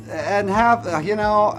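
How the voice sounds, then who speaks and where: A man chatting casually about current events as a host, blunt and opinionated, in a rambling, dismissive way. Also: sits high. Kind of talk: casual political chat over the phone.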